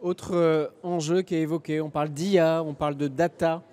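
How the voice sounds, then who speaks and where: Male interviewer, fairly high pitch, soft mellow tone, measured questions. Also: fairly even intonation.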